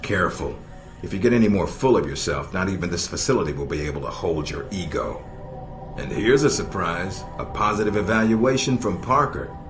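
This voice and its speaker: An actor playing a stern, middle-aged American intelligence operations chief in a video game, measured and dryly sardonic. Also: male voice, low-pitched, animated. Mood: dry, appraising. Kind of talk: video game cutscene dialogue. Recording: echoey, music underneath.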